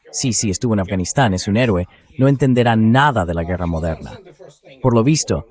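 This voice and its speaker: A man's voice: fairly low pitch, darkish tone. A man's synthetic dubbing voice giving blunt, sardonic political and military commentary as an interview guest.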